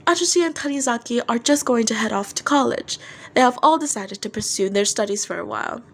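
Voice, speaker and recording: A woman's voice: fairly high pitch, brisk, creaky, voice pushed up. A woman voicing a playful, coaxing male rock singer with a showman's manner in a fan fiction reading. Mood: regretful. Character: teasing, theatrical male rock band singer, smooth showman. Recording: noticeable background noise.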